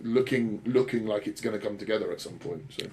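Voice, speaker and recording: A man's voice: on the low side, fast talker, a little mellow, poor audio. A British man in his thirties co-hosting a podcast in a casual, rambling, self-deprecating way with blokey swearing. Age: 30s. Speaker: podcast co-host and bodybuilding coach.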